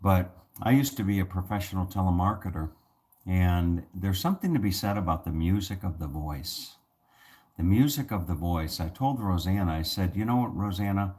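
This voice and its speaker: A man with a very low, soothing voice talks straight to his viewers. He rambles along with plenty of restarts and fillers, as on a casual home video channel. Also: moderate pace, mellow. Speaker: channel host. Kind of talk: casual talk to camera.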